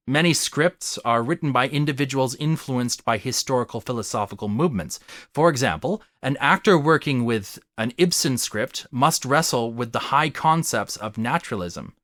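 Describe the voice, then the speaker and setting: Male essay narrator, mid-pitched and animated, formal and earnest like a lecturer, recorded dry. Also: no echo. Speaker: video essayist. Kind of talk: scripted argumentative narration.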